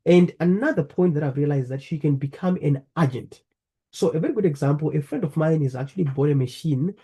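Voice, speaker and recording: Man from Zimbabwe, earnest presenter talking through slides, husky and mellow tone, leans on fillers. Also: on the high side, a little fast. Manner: earnest, repeats phrases, frequent fillers. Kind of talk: presenting a talk over slides. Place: lives in the UK.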